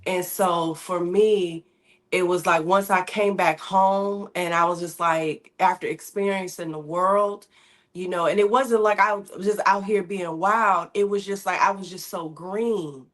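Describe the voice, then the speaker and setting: A woman's voice: lowish voice, fairly even intonation, measured pace. A woman from Michigan, talking candidly as a guest in a casual interview, slipping into slang. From Michigan, USA. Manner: candid, conversational, drops into slang. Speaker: guest, singer-songwriter.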